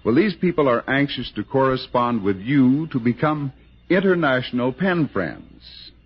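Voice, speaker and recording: A muffled old radio broadcast with an American male announcer. His voice is husky and mid-pitched, and he delivers the script with animated intonation. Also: measured pace.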